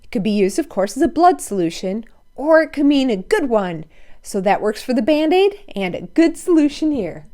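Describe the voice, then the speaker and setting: A woman's voice: on the high side, sing-song, moderate pace, warm tone. A woman reading a children's story aloud expressively, with playful asides to listeners. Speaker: storybook read-aloud narrator.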